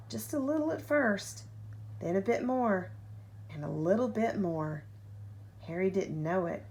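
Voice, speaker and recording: A woman reading a children's picture book aloud like a parent at bedtime, with expressive, deliberate phrasing and drawn-out key words. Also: mid-range voice, vocal fry, poor audio. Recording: home recording with faint background noise.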